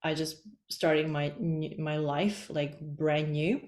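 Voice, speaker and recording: A woman's voice: sits low, little pitch movement, slow, darkish tone, roomy sound. A woman from the Czech Republic, a guest on a podcast, talking in a loose, rambling way full of "like" fillers. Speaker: podcast guest, energy healer. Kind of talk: casual interview chat.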